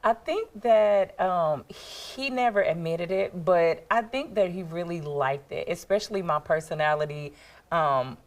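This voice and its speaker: A woman's voice: lowish voice, a little slow. A woman from Texas with a Texan accent, a panel guest, chatting expressively and laughing easily in a casual studio chat.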